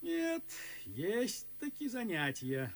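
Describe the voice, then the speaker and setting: A man's voice: high voice, huge pitch swings, takes it slowly, full character voice. A man playing a resigned, sighing, henpecked husband, a retired officer, in a classical radio play. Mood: wry resignation. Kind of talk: classical verse radio drama acting.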